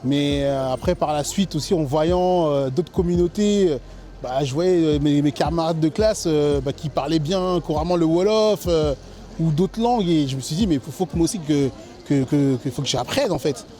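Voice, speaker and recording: A man from France giving a personal testimony in an interview as a food truck chef, speaking haltingly and repeating words as he searches for phrasing. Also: on the high side, warm tone, faint music behind.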